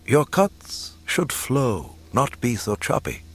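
A man voicing a wise, calm old mystic mentor in a storybook, speaking gently and with measured care. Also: medium pitch, husky, voice pushed up, full character voice. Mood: instructive.

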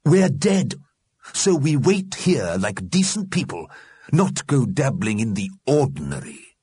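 A man's voice: medium pitch, very animated, a little slow, a little mellow, slightly rough, no room sound, pitched above the performer's own voice, full character voice. A man voicing a stern, sanctimonious dead man who admonishes pompously, in a storybook reading. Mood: ominous warning.

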